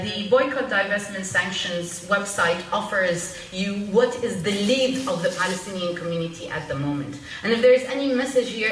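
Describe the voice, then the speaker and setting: Woman panel speaker, mid-pitched voice, earnest and urgent, recorded from a distance. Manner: earnest, urgent, pausing often to find words. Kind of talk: public panel talk. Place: public meeting room at a panel event. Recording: echoey room from far off, faint noise.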